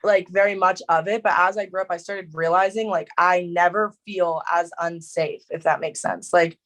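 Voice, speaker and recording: A woman's voice: fairly low pitch, fairly even intonation, moderate pace, a bit bright. A young woman from New Jersey with a Jersey accent, chatting as an interview guest and filling her talk with "like". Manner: chatty, lots of "like" fillers. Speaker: guest, social media creator. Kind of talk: casual interview chat.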